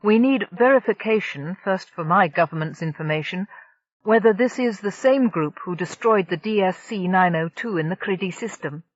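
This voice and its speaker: A woman's voice: medium pitch, moderate pace, no room sound, lightly acted. A woman voicing an earnest male diplomat who explains things precisely. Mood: insistent. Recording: dull lo-fi dry recording.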